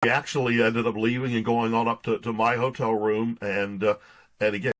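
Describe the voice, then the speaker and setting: A man speaking as an interview guest in a casual, reflective and halting conversational way. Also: medium pitch, level tone, a bit bright. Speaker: guest author being interviewed.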